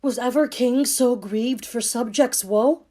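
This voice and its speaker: A woman acts a gentle, weary king of Shakespearean radio drama. The voice is soft-toned and rather high, and it laments slowly and poetically, full of sorrow. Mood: anguished grief. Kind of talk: Shakespearean audio drama acting.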